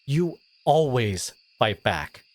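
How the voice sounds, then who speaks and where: An actor plays an abrasive teenage school bully with a hidden caring streak in an audio roleplay. The delivery swings widely in pitch, exasperated and confrontational. Words land with emphasis, speaking straight to the listener. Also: sing-song, slow. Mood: exasperated, goading. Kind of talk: dramatic audio roleplay monologue addressed to listener.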